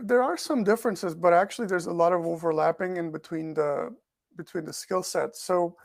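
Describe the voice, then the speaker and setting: A man's voice: fairly high pitch, vocal fry, dry recording, studio quality. A man who grew up in Portugal and speaks English as a second language, answering interview questions thoughtfully as an author and researcher. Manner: thoughtful, repeats words as he thinks. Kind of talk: podcast interview.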